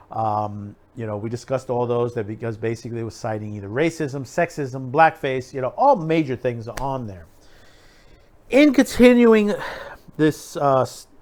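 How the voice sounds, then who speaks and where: A man with a mid-pitched voice hosts his own online show. He talks casually to his viewers, offering opinions in a halting way and circling back to repeat phrases as he finds his wording. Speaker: YouTube show host.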